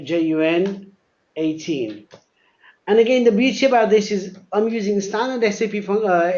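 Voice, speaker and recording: Guy with a pretty high voice walking thru a software demo, muffled lo-fi webinar sound. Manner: explanatory, spells things out, repeats words. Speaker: software demonstrator, presenter. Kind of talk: live software demo presentation. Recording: dull, lo-fi webinar audio.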